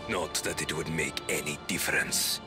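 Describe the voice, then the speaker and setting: An actor playing a proud, cold usurper king in a fantasy video game, haughty and taunting in a theatrical, deliberate manner. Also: extremely low pitch, steady tone, loud music behind the voice. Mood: cold disdain.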